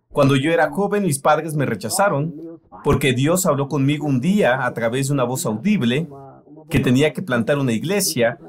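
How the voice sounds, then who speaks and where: A man preaching a sermon in an earnest, emphatic way, voicing it as a translation. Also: fairly high pitch.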